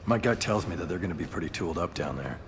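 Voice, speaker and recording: An actor playing a tough younger American cop and loyal son in a game cutscene, dry and clipped. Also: male voice, sits low, animated, fast, in a large hall, noisy background. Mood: serious. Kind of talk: video game cutscene acting. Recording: music under the dialogue.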